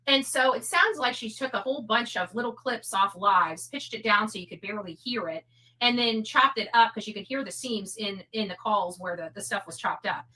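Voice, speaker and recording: A woman giving casual, rambling opinion commentary in a halting way, often repeating her words. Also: medium pitch, bright, forceful tone, poor audio. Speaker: online commentator.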